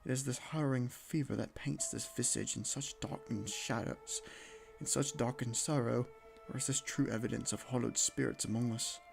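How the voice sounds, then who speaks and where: A man's voice: medium pitch, steady tone, normal speed, vocal fry, music in the background, lightly acted. A man voicing a fevered, mournful painter reading a gothic journal theatrically, in an attempted British accent that slips into Scottish. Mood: anguished dread. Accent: attempted British slipping into Scottish.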